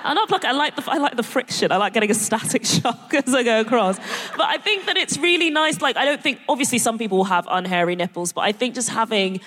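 A woman's voice: a little high, fast, hardly any pauses, a bit bright. A bubbly woman comedian chats on a live stage, giggling and slipping into playful slang. Her voice carries the long reverb of a large concert hall. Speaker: guest comedian.

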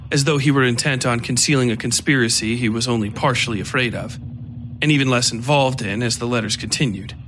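A man narrating dark horror fiction from a script in a measured, literary storytelling manner. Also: medium pitch. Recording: faint ambient background noise.

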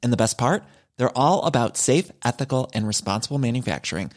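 A man's voice: mid-range voice, animated, fairly quick. A man giving an upbeat, breezy ad read. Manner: breezy, salesy. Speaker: ad reader.

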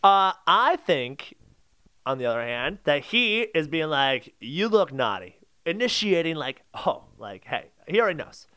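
A man's voice: fairly high pitch, very animated, measured pace, sharp tone, smooth. A man co-hosting a podcast, arguing insistently in a casual, comedic debate. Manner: insistent, argumentative. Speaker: podcast co-host.

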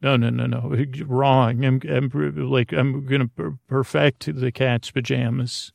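A man hosting a bedtime podcast in a creaky voice, meandering and halting, often stammering and repeating himself in a soothing, friendly way. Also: medium pitch, steady tone, dry recording. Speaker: podcast host. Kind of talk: rambling bedtime monologue.

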